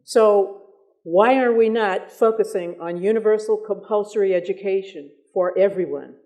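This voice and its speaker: An American woman moderating a public discussion, speaking in articulate, deliberate phrases with emphasis on her points. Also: medium pitch, mellow.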